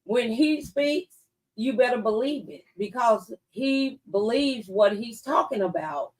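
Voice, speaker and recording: Lady on a talk show chatting politics, voice kinda high, casual delivery. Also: lively intonation, a little slow, a little mellow, low-quality recording.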